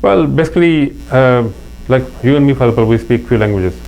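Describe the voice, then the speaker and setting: A man's voice: mid-range voice, lively intonation, a little fast, gravelly, a little mellow, low-quality recording. A middle-aged man from Pakistan with a Pakistani accent, telling stories in an earnest interview chat. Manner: earnest, anecdotal, with frequent restarts. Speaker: interview guest. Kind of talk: casual interview talk. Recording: faint mains hum.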